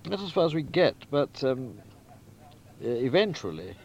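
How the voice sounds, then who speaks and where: A man giving a deliberate, emphatic and articulate spoken summary of a story, like a novelist outlining a book. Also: medium pitch, big pitch swings, highs cut off. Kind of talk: spoken plot summary, literary talk.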